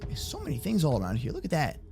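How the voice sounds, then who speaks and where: A young man doing excitable, playful gaming roleplay commentary for children, slangy and exclamatory. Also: fairly high pitch, quick pace, creaky. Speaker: host of a gaming roleplay channel. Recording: faint music behind the voice. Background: faint music.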